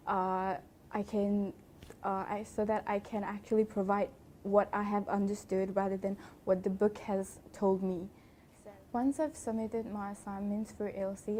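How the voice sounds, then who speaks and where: A woman's voice: medium pitch, warm tone, slight background noise. A young woman from Nepal, an accounting student explaining her experience in an earnest, casual way. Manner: earnest, explanatory, occasional light laughs. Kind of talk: casual testimonial.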